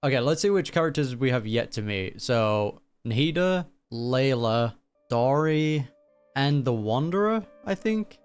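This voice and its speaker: A woman with a strikingly low voice reacts to a game as it plays. Her talk is casual, slangy and playful, with music faintly behind her. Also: expressive, a little slow, a little mellow, clean tone. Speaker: streamer reacting to a game story. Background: game music and dialogue.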